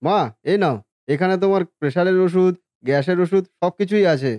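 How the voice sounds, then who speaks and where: A man's voice: high-pitched, a little fast, mellow, smooth, no echo, close to an everyday voice. A man playing a hardworking, dutiful grown son in a cartoon family drama, caring and businesslike. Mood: hurried, caring.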